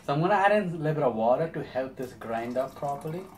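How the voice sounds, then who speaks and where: A man's voice: on the high side, animated, fast, very noisy. A young man giving a casual, step-by-step cooking demonstration as host in a small home kitchen. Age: young adult. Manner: explains step by step.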